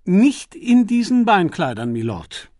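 A man's voice: sits high, big pitch swings, a little slow, darkish tone, no echo, studio quality, pitched above the performer's own voice. A man voicing a dignified, deferential but stubborn manservant in an audiobook, formal and firm. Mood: firm, insistent. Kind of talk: audiobook character voice.

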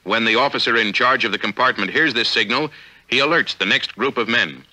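An American man reading formal, measured, authoritative narration for a 1950s instructional film, on an old film soundtrack. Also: medium pitch, pushed and bright, rough recording. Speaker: narrator of a military training film.

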